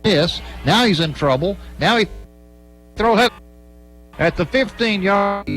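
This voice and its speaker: A man's voice: high-pitched, lively intonation, takes it slowly, music in the background. A man announcing live football play-by-play on the radio in clipped, running phrases. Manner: clipped, present-tense running description. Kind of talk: live radio sports commentary.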